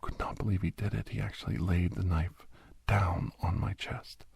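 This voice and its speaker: A man playing a captive doctor who grimly recounts his escape, half-whispered in a breathy ASMR horror narration with halting, deliberate phrases. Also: deep voice, fairly even intonation, a little mellow, no room sound, heavily acted. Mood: grim, tense. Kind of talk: first-person scripted horror narration, ASMR.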